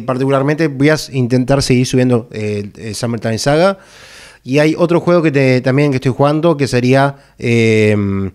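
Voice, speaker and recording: Halting man. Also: medium pitch, a little mellow. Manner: halting, repetitive, with frequent tag questions. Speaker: video creator. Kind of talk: casual unscripted talk to camera. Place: small bare indoor room. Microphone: microphone on a boom arm, close.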